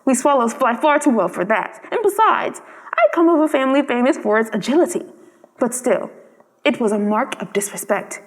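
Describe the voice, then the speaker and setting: A small, proud swallow from a storybook , acted out by a woman. The voice is high and chatty, with a self-important yet kind-hearted manner. It rings in a long reverb. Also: expressive, moderate pace, close to an everyday voice. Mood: boastful, indignant.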